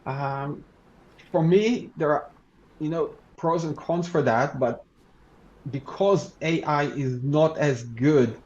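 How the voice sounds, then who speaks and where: Man, native Hebrew speaker, law researcher, measured and halting, lo-fi muffled sound. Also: a little high, a little mellow. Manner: measured, halting, with many restarts and "you know". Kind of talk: thoughtful interview answers.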